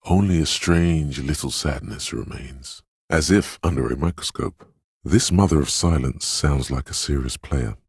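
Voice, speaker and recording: An actor playing a brooding, dreamlike inner voice of intuition in a video game, narrating slowly, solemnly and ominously in poetic second person. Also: male voice, a bass voice, breathy edge, dry recording, studio quality, exaggerated voice.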